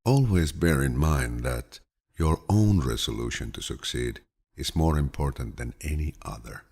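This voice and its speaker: Male audiobook narrator, calm and grandfatherly, bottom-register voice with a husky rasp, reading reflective quotations in measured fashion. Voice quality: deep, low, gravelly.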